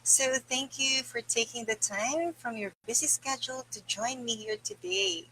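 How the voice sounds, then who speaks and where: A woman's voice: fairly high pitch, moderate pace, sharp tone, slight background noise, low-quality recording. A middle-aged woman reading aloud for a live stream, with casual asides to her viewers. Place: small indoor room with bare wall.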